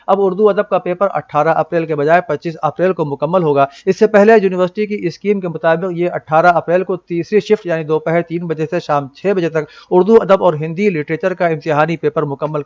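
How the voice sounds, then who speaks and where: A man reading a scripted news bulletin in a formal, measured manner. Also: a little high, no room sound, dull audio.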